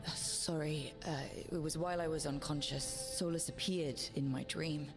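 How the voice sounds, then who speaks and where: Young female team leader, low voice, earnest, steady, measured, fantasy video game, music behind. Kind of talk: video game cutscene acting.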